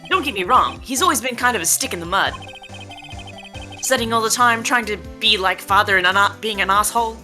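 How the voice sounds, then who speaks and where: A woman's voice: mid-range voice, brisk, music in the background, close to an everyday voice. A woman voicing a dim, boastful young man with slangy, scatterbrained delivery in a live game fan dub. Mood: chatty.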